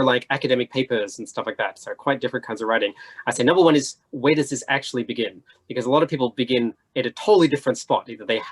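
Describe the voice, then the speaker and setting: Fast-talking, husky, emphatic man. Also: medium pitch. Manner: emphatic, blunt, checks in with "right". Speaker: panelist, editor. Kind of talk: conversational panel discussion.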